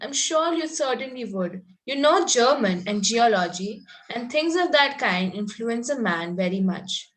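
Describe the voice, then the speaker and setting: A woman's voice: on the high side, lively intonation, unhurried, fairly bright tone, rough recording. A woman playing an artless, romantic young Victorian girl in a comedy table read, ingenuous and politely contrary. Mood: artless, earnest.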